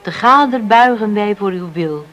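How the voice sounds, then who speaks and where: A woman's voice: mid-range voice, lively intonation, moderate pace, a bit bright, faint music behind, close to an everyday voice, low-quality recording. A woman acting a devout, sentimental farm mother in a Dutch radio drama, on a hissy old recording. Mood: solemn.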